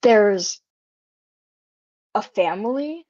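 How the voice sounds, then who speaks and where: A woman panelist chatting casually and haltingly, thinking aloud as she goes. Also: medium pitch, expressive, a little mellow, no room sound. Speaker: panelist, writer. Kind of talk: casual chat. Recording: dull, lo-fi voice chat audio.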